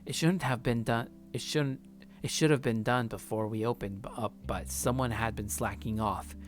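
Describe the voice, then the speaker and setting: A man's voice: medium pitch, fairly even intonation, moderate pace, a little hiss or hum. A man hosting a let's play, reading a visual novel's first-person narration aloud.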